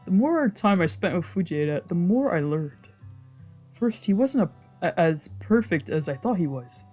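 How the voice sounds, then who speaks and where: A woman with a fairly low voice streams a story game over soft background music. She reads lines aloud and comments on them casually, in an expressive, exclamatory and slangy way. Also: moderate pace. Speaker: streamer playing and reading a visual novel. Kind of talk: casual let's play commentary mixed with reading game text aloud. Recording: music playing behind the voice.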